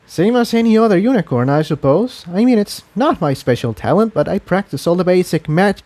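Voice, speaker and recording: A high, anxious young unicorn mare from a fantasy storybook, voiced by a man. The delivery is urgent and hushed, pitched well up and softened at the edges. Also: expressive, fairly quick. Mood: taken aback. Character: young female unicorn pony, alarmed and cautious.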